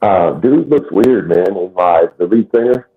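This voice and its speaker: A man in his late forties with a husky, fairly low voice, chatting in a laid-back way and swearing now and then. His voice comes through a thin, phone-like line. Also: animated, unhurried. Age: 40s. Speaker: host. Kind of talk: casual chat.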